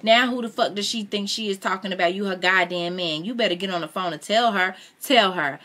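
A woman's voice: mid-range voice, brisk, pitched above the performer's own voice. Woman acting out a furious, indignant young wife. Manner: shouting, demanding, indignant.

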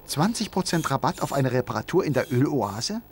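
A man plays a little, well-mannered male robot in an animated game. The voice is mid-range and lively in pitch, with careful, formal phrasing and slight noise behind. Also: a little fast, lightly acted. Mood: puzzled. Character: small, polite, earnest male robot sidekick. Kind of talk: animated game cutscene acting.

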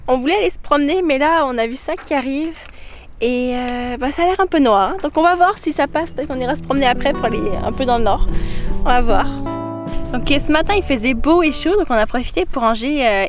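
Quick-talking young woman on a sailboat. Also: high-pitched, soft background music. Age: young adult. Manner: chatty, explains plans and weather aloud. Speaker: sailing vlogger. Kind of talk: casual vlog narration. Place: outdoors on a sailboat deck.

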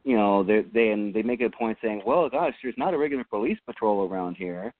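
A man's voice: fairly low pitch, a little fast, a little hiss or hum. A man on a talk show panel, hesitant and filling his talk with many uhs and restarts. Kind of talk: casual panel chat. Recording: dry tinny phone-line audio.

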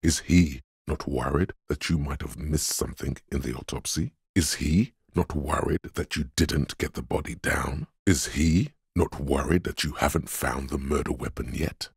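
An extremely deep, gravelly logical inner voice. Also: male voice, no echo, studio quality, exaggerated voice. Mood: calm, questioning. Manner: measured, deliberate, analytical. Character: cool, dryly reasoning inner voice of a detective's logic. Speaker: voice actor. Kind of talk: scripted video game voice-over, inner-voice narration.

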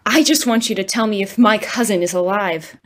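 A woman's voice: mid-range voice, a little fast, fairly bright tone, close to an everyday voice. A woman playing a fairy mimicking an accusing fifteen-year-old, earnest and declamatory in an audio drama. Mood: accusing, defiant.

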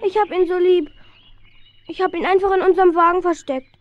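A woman's voice: very high voice, fairly even intonation, fairly quick, muffled recording, a little hiss or hum. A woman playing an affectionate, apologetic poor little girl in a German children's radio play. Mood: sorry, tearful.

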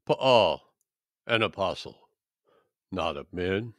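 A man reading a Bible chapter aloud in a measured, deliberate, solemn style. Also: deep voice, animated, dry recording, studio quality. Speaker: scripture reader.

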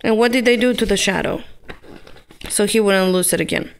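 A woman casually prompting a child who is reading, asking short questions and gently correcting words, recorded indoors at home on a handheld camera. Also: medium pitch, fairly quick, smooth. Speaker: parent helping a child read.

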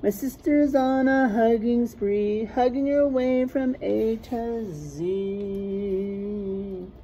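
A middle-aged woman reading a rhyming picture book aloud like a teacher to young children, sing-song and deliberate, stressing rhymes and letters. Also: a little high, fairly even intonation, mellow, faint music behind, low-quality recording. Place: small classroom, indoors.

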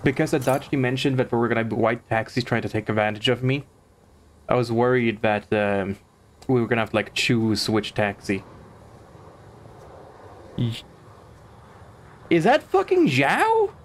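A man's voice: medium pitch, very animated, normal speed, pauses often, slight background noise. A man chatting casually as a live streamer while he comments on the game he is playing.

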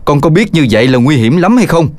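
A man's voice: a little high, very animated, fast talker, a little mellow, no room sound. A man voicing a stern, scolding father dog in a children's cartoon. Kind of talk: Vietnamese children's cartoon dubbing.

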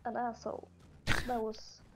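A woman's voice: a little high, monotone, a little slow, background noise. A woman gamer teasing her teammates with mock threats, switching between English and Swedish. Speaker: gamer on voice chat. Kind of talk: teasing casual game chat.

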